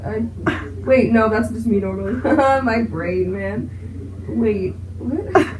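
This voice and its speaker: A woman's voice: fairly high pitch, slow, darkish tone, recorded from a distance. A woman voicing a silly, contrary cartoon sidekick with a hesitant, rambling delivery in an improvised fandub. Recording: echoey room with clear noise.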